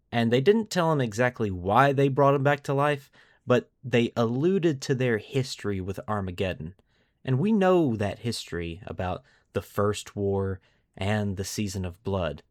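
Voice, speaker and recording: A man hosting a lore podcast, telling the story in a deliberate, semi-scripted narrative style with dramatic pauses. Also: fairly low pitch, no room sound, studio quality. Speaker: podcast host.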